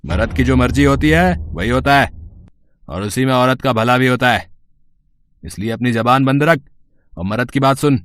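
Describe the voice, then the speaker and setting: A man's voice: medium pitch, normal speed, long pauses, a bit bright, lightly acted. A man playing a drunk, abusive village husband and father in a melodramatic audio story, suspicious and menacing, with slurred, bullying rambling.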